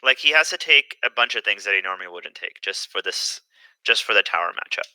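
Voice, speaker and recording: A young man giving casual live commentary on a card game tournament, hesitant and filling pauses with "um". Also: lowish voice, animated, sharp tone, clear, resonant tone, no echo, rough recording. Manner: hesitant, lots of "um" fillers.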